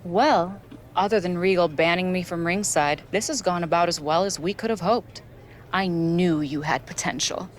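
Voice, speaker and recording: A woman playing a cool, scheming wrestling manager in a video game cutscene, speaking in a measured, controlling way. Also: on the low side, natural voice. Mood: cool, calculating.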